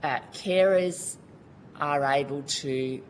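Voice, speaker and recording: A woman's voice: deep voice, slow pace, slight background noise, rough recording. An Australian woman giving earnest, colloquial testimony at a Senate committee hearing. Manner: earnest, colloquial, restarts often. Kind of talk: formal testimony.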